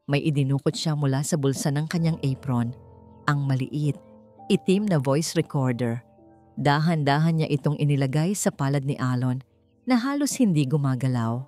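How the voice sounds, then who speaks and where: A woman reading a scripted romance drama as its narrator, in a measured, dramatic storytelling manner. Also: low voice, dark tone, faint music behind.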